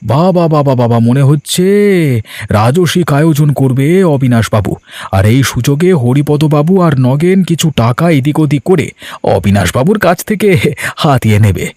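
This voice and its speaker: A man's voice: fairly high pitch, animated, a little slow, darkish tone, dry recording, studio quality. A man voicing a poor, henpecked village farmer in a cartoon, sarcastic and exasperated, with a whining, complaining manner. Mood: mocking, scolding. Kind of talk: Bengali cartoon dubbing. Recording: music behind the voice.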